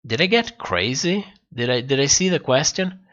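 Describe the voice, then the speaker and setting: Guy hosting a livestream, medium pitch, rambly chat replies, repeats himself, audio a bit dull. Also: lively intonation, moderate pace, fairly bright tone. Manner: rambling, repeats phrases as he thinks aloud. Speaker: livestream host. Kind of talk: casual livestream chat, answering viewer comments.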